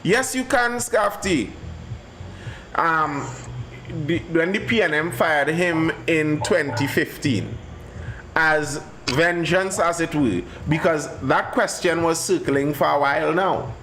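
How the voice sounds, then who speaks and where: Slow-talking man hosting a live chat. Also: fairly high pitch, fairly bright tone, a little hiss or hum, poor audio. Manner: slow, halting, thinking aloud. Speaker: host. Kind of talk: casual live-stream chat.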